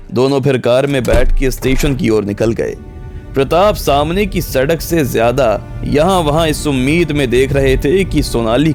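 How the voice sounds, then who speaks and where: A man reading scripted narration for a dramatised audio story. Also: mid-range voice. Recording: music bed under the voice.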